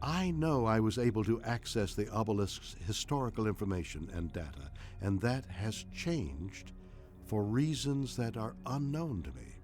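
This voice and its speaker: A stately artificial mind from a science fiction game acted by a man speaks very low, with a widely moving melody and faint music behind. The manner is formal, deliberate and gently puzzled. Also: big pitch swings. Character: male mind housed in an alien obelisk, puzzled, formal.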